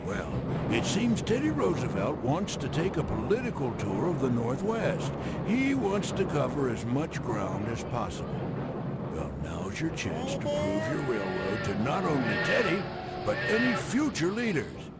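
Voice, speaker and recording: An actor playing a crusty, teasing old American railroad man, narrating game cutscenes in a folksy, theatrical way with a gravelly voice. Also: medium pitch, wildly swooping pitch, unhurried, loud music behind the voice. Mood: wry, folksy. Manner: folksy, scolding, colorful old-time slang.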